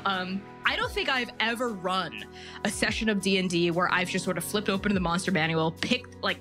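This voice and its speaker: A woman in casual, opinionated discussion as a podcast guest, speaking emphatically and in halting, hedged phrases. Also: mid-range voice, music in the background. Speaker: podcast guest, game master.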